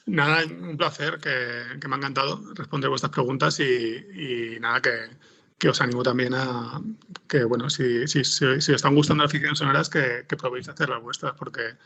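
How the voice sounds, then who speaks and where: A man's voice: medium pitch, steady tone, moderate pace, creaky, bright, forceful tone, husky. A man with a Spanish accent from Spain giving casual interview answers, hesitantly searching for his words. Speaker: interview guest, audio fiction screenwriter.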